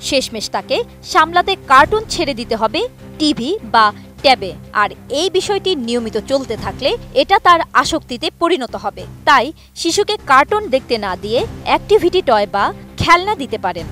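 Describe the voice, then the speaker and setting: A woman reporter with a Bangladeshi accent reads a scripted news report. Her voice is high and moves through an animated range of pitch, with faint music underneath. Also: moderate pace.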